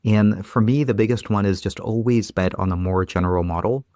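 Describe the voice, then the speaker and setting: Low-voiced halting man, dry close sound. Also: a little mellow, no room sound. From Ukraine. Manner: halting, restarts often, many "like" and "you know". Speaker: guest, engineering lead. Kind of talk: casual interview talk.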